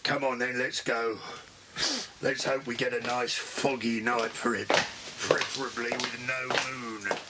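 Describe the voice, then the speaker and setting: An actor playing a bossy, menacing crook who leads a gang and barks questions in a children's audiobook drama. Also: male voice, mid-range voice, level tone, unhurried, close to an everyday voice. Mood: gruff, commanding. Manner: barking, bullying questions. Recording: noisy old cassette transfer with clicks.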